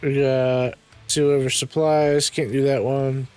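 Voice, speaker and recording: A man with a raspy voice, casually commenting to himself as he plays a game, clearing his throat now and then. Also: medium pitch, flat delivery, unhurried, a little mellow, faint music behind. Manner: muttering to himself while playing, frequent ums and throat-clearing. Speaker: game streamer.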